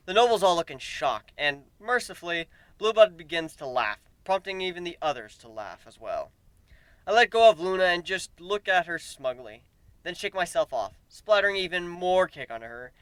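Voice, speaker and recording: Animated, bright-voiced man reading a story. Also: high voice, moderate pace, smooth, dry recording. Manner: expressive, emphatic. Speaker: amateur story reader. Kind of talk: dramatic first-person story narration.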